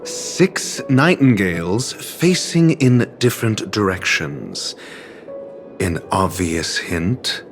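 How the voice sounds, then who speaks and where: An actor playing a haughty, erudite scholar in a video game, speaking in ornate formal phrases with dry, condescending sarcasm. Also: male voice, low-pitched, sing-song, takes it slowly, exaggerated voice. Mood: sarcastic, exasperated. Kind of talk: video game cutscene voice acting. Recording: background music under studio game dialogue.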